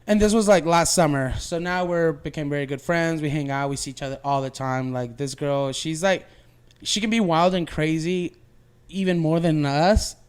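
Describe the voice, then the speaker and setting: Casting: male podcast co-host, smooth clear voice, casual slangy storyteller who rambles. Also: fairly high pitch, moderate pace. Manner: rambling, slangy, frequent "like" and "you know".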